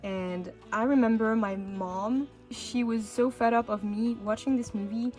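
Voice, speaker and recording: A woman's voice: a little high, fairly even intonation, measured pace, a little mellow, soft background music. A young woman whose first language is French, chatting casually and cheerfully with lots of fillers as an art vlogger. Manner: bubbly, chatty, with many fillers and restarts. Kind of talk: casual chat over crafting.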